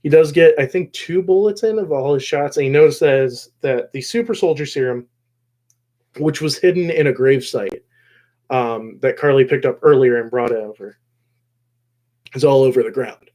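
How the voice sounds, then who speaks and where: A man hosting a casual recap discussion of a show episode, rambling along and often pausing mid-phrase. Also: medium pitch, darkish tone. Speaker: host of a show discussion video.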